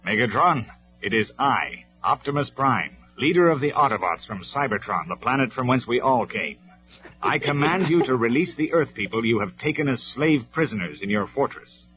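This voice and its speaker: A man's voice: medium pitch, expressive, pitched above the performer's own voice, lightly acted. A man doing Optimus Prime, a stern robot leader. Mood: commanding. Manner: loud, measured and commanding. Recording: dull lo-fi recording, faint music.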